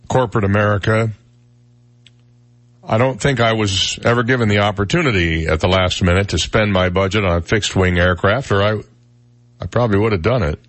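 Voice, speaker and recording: A man's voice: fairly low pitch, a bit bright, no room sound. A man hosting a casual morning radio talk show, wry and conversational with lots of filler words, sounding a little stuffed up in the nose. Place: radio studio. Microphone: studio microphone.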